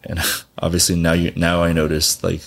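A man with a very low voice and plenty of gravelly fry speaks as an interview guest. He comes from Southern California and tells his story bluntly, with casual profanity. He laughs often as he talks. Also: completely flat delivery, moderate pace, a bit bright. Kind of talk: candid personal storytelling.

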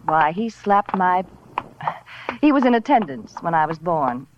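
A woman's voice: on the low side, very animated, unhurried. An actress playing a chatty young woman professor, coy, prim and playful, in a 1940s radio drama. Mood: friendly, coy. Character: chatty, bright-witted young female seismology professor. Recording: muffled, noisy 1940s radio recording.

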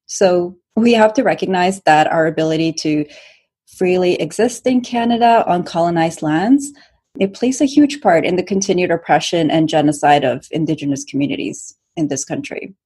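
A woman in her twenties from Norway co-hosting a podcast, explaining things in a steady way. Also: sits low, breathy edge. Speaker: podcast co-host. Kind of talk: podcast introduction.

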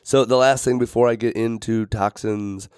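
A man hosting a health podcast in casual, rambling talk, his voice a bit raspy today. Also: mid-range voice, steady tone, moderate pace, darkish tone, no room sound, studio quality. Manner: rambling, many fillers and restarts. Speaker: podcast host.

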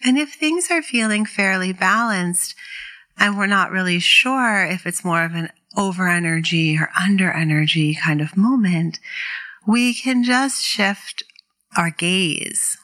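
A woman teaching guided meditation in a gentle, encouraging, unhurried way. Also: medium pitch, animated. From New England, living there. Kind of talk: guided meditation instruction to an online community.

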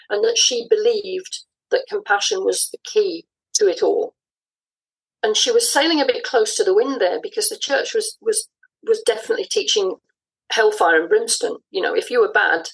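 Thoughtful older woman, slightly husky. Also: mid-range voice, rough recording. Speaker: interview guest, audio drama writer. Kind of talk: reflective conversational interview.

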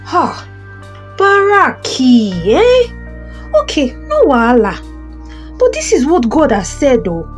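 A commanding, motherly prophetess with a Nigerian accent , played by a woman. Her voice sits high and swoops with lively emphasis, often tagging lines with a pressing question. The style is that of an audio Bible play. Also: takes it slowly, long pauses, soft background music, heavily acted. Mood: firm, reassuring. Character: confident, commanding prophetess Deborah, motherly and firm.

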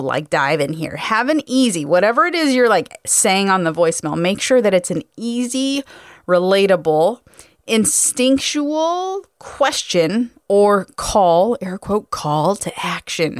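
A woman with a medium-pitched voice hosts a solo coaching podcast. Her delivery is conversational and emphatic, full of casual slang. The recording is dry, with very little room sound. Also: no room sound. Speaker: podcast host and car sales coach.

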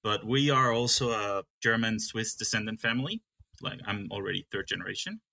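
A man's voice: fairly low pitch, animated, fairly quick, vocal fry, pushed and bright. A young man from Peru who manages a coffee farm, talking in a casual interview and often stopping to restart his sentences. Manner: hesitant, with many restarts.

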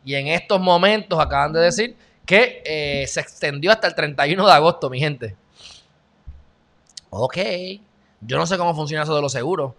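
A man's voice: on the high side, normal speed, vocal fry, a bit bright. A young man with a Puerto Rican accent hosting a casual live-stream news chat, sarcastic and greeting viewers by name. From Puerto Rico. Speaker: channel host.

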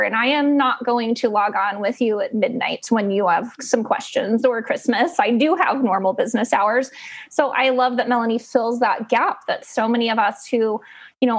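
A woman from Ohio hosting a podcast, talking in a friendly, casual way with fillers. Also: on the high side. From Columbus, Ohio. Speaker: podcast host, lactation consultant. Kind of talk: conversational podcast interview.

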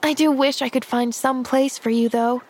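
A woman's voice: sits high, fairly even intonation, normal speed, a little mellow, pitched above the performer's own voice. A woman voicing an eager, kind-hearted young girl in an audiobook, chattering in a breathless, earnest, gushing way. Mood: apologetic, earnest. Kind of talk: audiobook character voice.

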